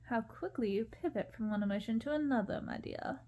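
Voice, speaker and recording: Woman as a skeptical old nanny, very mellow. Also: fairly high pitch, a little fast, lightly acted. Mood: doubtful.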